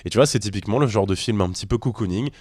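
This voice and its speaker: Guy on a friends' podcast, fairly deep voice, cracks jokes, does silly accents, dry mic. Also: rapid-fire, a little mellow, dry recording. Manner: joking, puts on silly accents, explains earnestly. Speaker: podcast co-host. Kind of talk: casual chat among friends. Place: studio table with friends.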